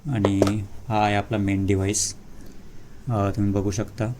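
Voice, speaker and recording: A man with a low, fairly monotone voice walks through an unboxing in a relaxed, casual way. He pauses often and fills gaps with hesitant sounds. Some English words slip into his speech, and faint room ambience sits behind him. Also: noisy background. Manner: hesitant, many fillers and pauses. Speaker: vlogger.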